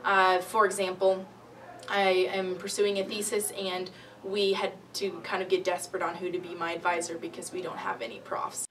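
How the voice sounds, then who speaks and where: A woman's voice: on the low side, level tone, moderate pace, fairly bright tone. A young adult woman, a college student, giving her opinion casually in an interview. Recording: distant mic, faint room noise.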